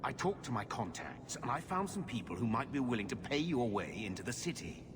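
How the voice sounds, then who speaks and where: An actor playing a shady, middle-aged uncle in a video game, blunt and world-weary. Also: male voice, mid-range voice, big pitch swings, a little fast, background noise, lightly acted. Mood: grudging, persuasive. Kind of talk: video game cutscene acting.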